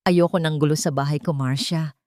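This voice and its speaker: A woman voicing a weary, cold and authoritative widowed father, speaking quietly in a story. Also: low-pitched, steady tone, fairly quick, no echo, studio quality, lightly acted. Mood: stern weary. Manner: quiet, tired, commanding.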